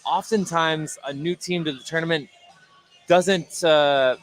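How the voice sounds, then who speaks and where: An American man giving conversational basketball analysis, halting and repeating words as he goes. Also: a little high, fairly even intonation, soft background music, poor audio. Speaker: color commentator. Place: indoor basketball gym, courtside broadcast. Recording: faint gym noise behind.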